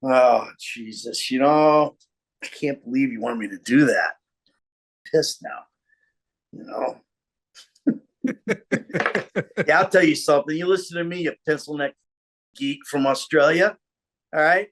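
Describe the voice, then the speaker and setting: A man's voice: a little high, a little slow, pauses often. A man playing a foul-mouthed, irritable tough guy, belligerent and grumbling as he improvises comic threats. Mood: irritated, threatening.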